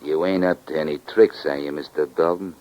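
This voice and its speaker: A gangster stooge in a vintage radio crime show. His voice sits very low. He is suspicious and menacing, with slangy, ungrammatical tough talk. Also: fairly even intonation, a little fast, dark tone. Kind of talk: 1940s radio crime drama acting. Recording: vintage 1940s radio recording.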